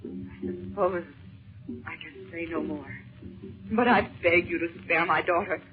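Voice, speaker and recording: A woman's voice: fairly low pitch, fairly even intonation, unhurried, soft background music, natural voice. An actress playing a desperate captive mother in a 1930s radio serial, imploring in a breathless, melodramatic way. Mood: shaken. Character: desperate, pleading mother held captive, a woman. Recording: tinny, band-limited 1930s radio recording.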